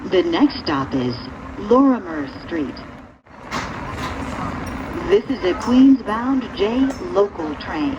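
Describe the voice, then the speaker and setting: Female transit announcer, formal and deliberate, lively intonation, heard through a train PA in a dull recording. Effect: train public-address speaker. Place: inside a subway train, over speakers. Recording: dull, noisy recording inside moving train. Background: rumbling train noise.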